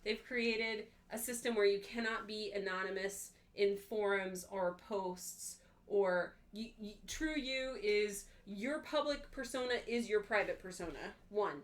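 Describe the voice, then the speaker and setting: A woman's voice: medium pitch, measured pace, hardly any pauses. A woman co-hosting a casual book club livestream, explaining her thoughts point by point.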